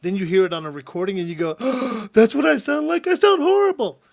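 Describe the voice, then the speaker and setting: Lively high-voiced man joking on a talk show. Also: fast talker, gravelly. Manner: joking, animated, breaks into laughter. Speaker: podcast co-host. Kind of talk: casual studio chat.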